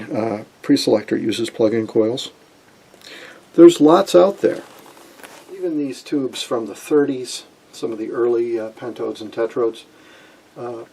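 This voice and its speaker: A man in his sixties presenting an informal technical explanation in a halting way, with frequent pauses, in a small room at home. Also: medium pitch, vocal fry, mellow, slightly rough, recorded from a distance. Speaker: presenter of a vintage radio electronics channel.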